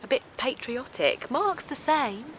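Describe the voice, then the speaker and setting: A woman's voice: high voice, sing-song, unhurried, fairly bright tone, a little hiss or hum, heavily acted. An actress playing a naive, kindly middle-aged village woman in an audio drama, hesitant and defensive, with colloquial phrasing. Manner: hesitant, colloquial, protesting, repeats herself. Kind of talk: naturalistic audio drama acting.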